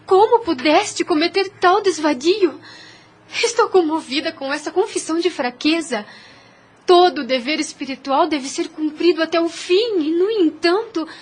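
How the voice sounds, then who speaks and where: A woman's voice: very high voice, animated, normal speed. A Brazilian woman playing a devout, self-denying young woman in an audio drama, earnest and solemn, with formal phrasing. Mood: reproachful. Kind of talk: audio drama acting, formal old-fashioned speech.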